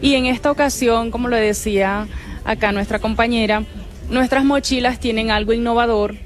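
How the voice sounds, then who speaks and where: A woman artisan whose first language is Arhuaco, speaking in a measured way with frequent fillers as a radio guest. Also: a little high, steady tone. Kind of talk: radio interview.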